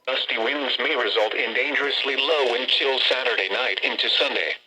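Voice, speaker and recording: A computer-generated male voice reading a weather report in an even, machine-like way, heard through a radio speaker. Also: mid-range voice, normal speed, background noise. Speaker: automated weather broadcast voice.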